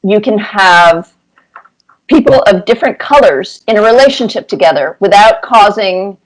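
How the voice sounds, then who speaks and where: Middle-aged woman, interview guest. Conversational, thoughtful, picks her words deliberately. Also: mid-range voice, animated, poor audio.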